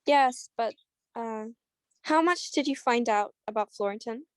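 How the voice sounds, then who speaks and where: A woman acts out a cool, knowing dame in an old-time radio detective play. Her voice sits fairly high and is recorded very dry, and her wit is just as dry. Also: measured pace, clean tone, no room sound, natural voice. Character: cool, dry-witted female mystery woman. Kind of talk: 1940s radio mystery acting.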